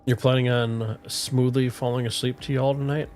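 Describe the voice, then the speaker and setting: A middle-aged man chatting casually with viewers on a game livestream, relaxed and rambling, with a soothing voice. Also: medium pitch, moderate pace. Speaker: streamer. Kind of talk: casual livestream chat while gaming. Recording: faint music playing underneath.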